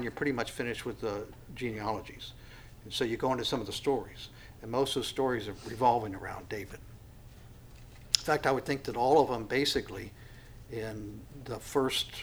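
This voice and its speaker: A man's voice: mid-range voice, a little hiss or hum. A man in his sixties teaching a Bible study in a folksy, self-deprecating way, with many fillers and restarts. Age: 60s. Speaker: pastor.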